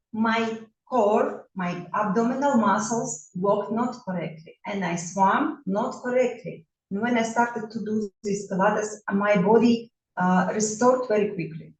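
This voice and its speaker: Russian lady in her 40s, slow halting english, lots of uhs, far from the mic. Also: mid-range voice. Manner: halting non-native English, many fillers and restarts. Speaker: bodywork therapist. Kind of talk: self-introduction talk. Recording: roomy echo, mic at a distance.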